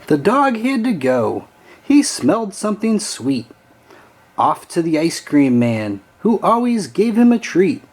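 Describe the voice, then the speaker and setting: A man reading a children's storybook aloud with an expressive, sing-song storytelling delivery. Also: sits high, a little slow. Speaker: storybook reader.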